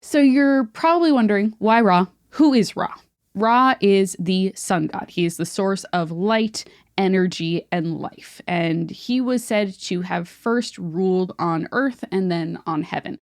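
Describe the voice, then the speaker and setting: A woman's voice: mid-range voice, a little slow, no echo, studio quality. A woman co-hosting a podcast, explaining mythology in a chatty way with filler words. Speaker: podcast co-host. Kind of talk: casual chat, explaining mythology.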